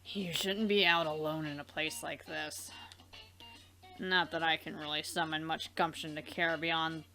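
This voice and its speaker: A woman's voice: deep voice, steady tone, unhurried, sharp tone, soft background music, heavily acted, low-quality recording. A woman voicing a dry, dismissive young alien troll woman in a visual novel game dub.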